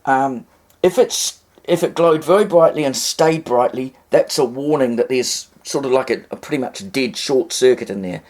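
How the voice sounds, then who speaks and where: A man's voice: medium pitch, moderate pace, darkish tone. Rambling New man demonstrating gear. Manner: rambling, hesitant. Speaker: vintage radio hobbyist presenter. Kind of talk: casual demonstration commentary.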